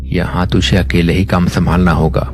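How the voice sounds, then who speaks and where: A stern, secretive older village uncle, as a male narrator plays him, laying down rules in a very deep, low voice. He speaks firmly and matter-of-factly. Loud music runs under the horror cartoon. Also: level tone, moderate pace. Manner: instructing, firm, matter-of-fact.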